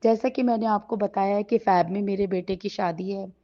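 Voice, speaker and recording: A middle-aged woman with a sore throat, sharing a devotional gratitude talk at home before a shrine, in a gentle, halting way with many pauses. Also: medium pitch, steady tone. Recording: slightly dull lo-fi recording.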